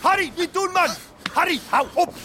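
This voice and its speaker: A panicky underling in a radio play. His voice is pushed extremely high as he pleads and stammers frantically, under loud noise. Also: normal speed. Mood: panicked, pleading. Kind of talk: radio drama acting.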